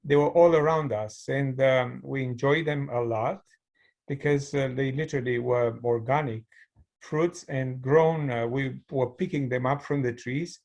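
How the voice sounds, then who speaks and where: A man's voice: mid-range voice, steady tone, unhurried, darkish tone, highs cut off. A middle-aged man from Romania with a Romanian accent, telling his story as an interview guest over a video call in a thoughtful way. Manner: thoughtful, storytelling with light laughs. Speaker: guest, mechanical engineer. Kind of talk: conversational interview.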